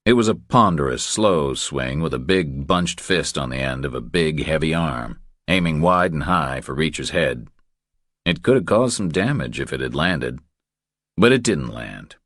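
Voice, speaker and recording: A man's voice: very deep voice, animated, unhurried, a bit bright, dry recording, studio quality. A man reading a scripted thriller as an audiobook narrator.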